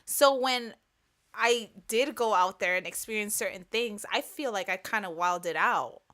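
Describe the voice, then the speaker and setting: A young woman talks in a loose, filler-heavy way, with some swearing, as she co-hosts a chat podcast. Her voice has a bright, forward edge. She comes from a Mexican-American family. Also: mid-range voice, measured pace. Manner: chatty, lots of "like", swears. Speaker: podcast co-host. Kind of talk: casual chat.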